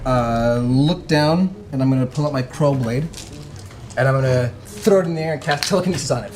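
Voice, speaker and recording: A woman's voice: extremely low pitch, fairly quick, noisy background. A woman chatting casually as a player in a tabletop game, with a dry, joking manner. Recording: loud music behind the voice.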